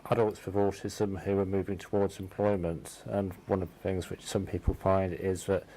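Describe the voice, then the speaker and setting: A man explaining in measured short phrases as a guest in a conversational radio interview. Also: deep voice, flat delivery, mellow, a little hiss or hum, rough recording.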